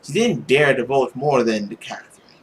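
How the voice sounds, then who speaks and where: A let's player reading a visual novel aloud with expressive narration and casual asides. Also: medium pitch, normal speed, low-quality recording. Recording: faint background noise.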